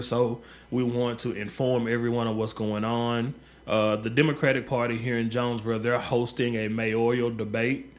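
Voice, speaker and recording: Halting man rallying listeners on radio. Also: medium pitch. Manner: halting, many repeated words and fillers. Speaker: local community organizer. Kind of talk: earnest radio appeal. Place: radio studio.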